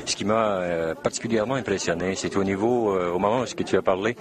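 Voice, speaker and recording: A man's voice: sits low, quick pace, a crowd in the background. A French Canadian man interviewing someone, with hesitant, roundabout phrasing as he builds up his questions.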